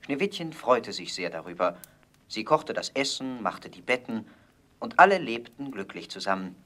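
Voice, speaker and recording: Casting: male storybook narrator, mid pitch, calm and measured. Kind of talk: fairy-tale audio play narration.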